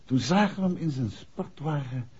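Gentleman detective, husky, expressive pitch, composed, polite, vintage muffled radio drama. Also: normal speed. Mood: thoughtful. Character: composed, courteous male amateur detective, married, shrewd. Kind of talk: 1960s Dutch radio play acting.

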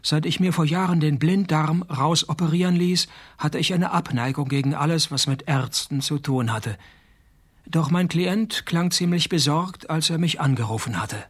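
An actor playing a wry private detective who narrates and talks in a dry, sarcastic deadpan in a radio play. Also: male voice, on the high side, moderate pace, husky. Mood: wry, matter-of-fact. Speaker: narrator and lead character.

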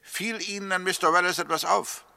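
A man's voice: sits high, steady tone, brisk, gravelly, pushed and bright, dry recording, full character voice. A man acting a stern, sceptical prosecuting lawyer in a 1960s German radio drama, formal and challenging. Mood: probing. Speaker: actor in a radio play.

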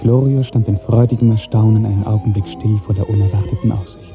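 A man narrating a literary radio drama in a measured, lyrical 1950s storytelling style. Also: fairly low pitch, steady tone, music in the background. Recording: muffled old 1950s radio recording.

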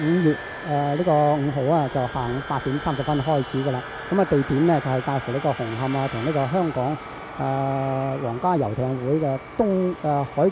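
A man with a Hong Kong accent giving live radio news commentary in a halting way, with frequent drawn-out fillers. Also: a little high. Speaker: radio reporter. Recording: dull, noisy archival radio broadcast.

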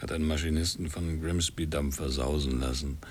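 An actor playing a weak, dying sailor in a radio drama, stubbornly proud and short of breath. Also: male voice, extremely low pitch, fairly even intonation, brisk, darkish tone, slight background noise. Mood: weary, defiant. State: weak, gasping, failing.

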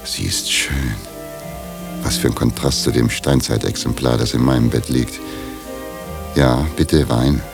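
An actor playing a grumpy, sceptical middle-aged professor hungry for romance in a radio drama. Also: male voice, rumbling low, steady tone, measured pace, creaky, pauses often, over music, heavily acted. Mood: awed, nervous.

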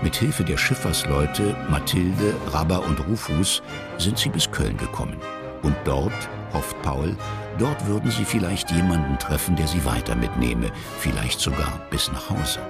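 A man's voice: a bass voice, expressive, unhurried, gravelly. A man narrating a historical radio play for children in a scripted storytelling style. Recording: loud music under the voice. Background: music.

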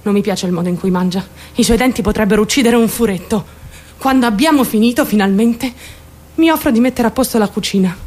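A woman acts out an unstable, delusional young woman in a radio play monologue. Her voice sits fairly high. She confides bluntly, defiant and obsessive, swearing and turning abruptly as she presses the listener to agree. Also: fast talker, darkish tone, close to an everyday voice. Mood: disdainful. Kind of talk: theatrical radio monologue in present tense.

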